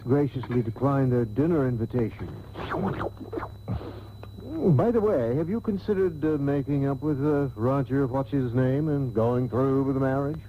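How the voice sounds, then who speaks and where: A man's voice: mid-range voice, normal speed, natural voice. A man playing a pompous, callous rich father, genteel and blithe with hesitant hems and haws, in a satirical radio soap. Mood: blithely genteel. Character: pompous, callous wealthy father, middle-aged or older. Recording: muffled, hissy old radio tape.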